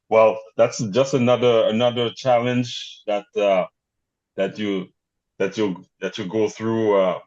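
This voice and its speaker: A man's voice: on the low side, flat delivery, takes it slowly, a little mellow. A man hosting an interview, asking questions and responding hesitantly with many fillers and repeated words. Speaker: interviewer host. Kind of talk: interview conversation. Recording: slightly dull lo-fi audio.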